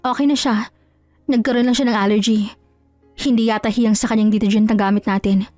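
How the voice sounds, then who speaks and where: A woman's voice: mid-range voice, fairly even intonation, brisk, a little mellow, dry recording, soft background music, natural voice. A woman playing a scheming, jealous wife in an audio drama, sarcastic and coolly composed as she feigns innocence.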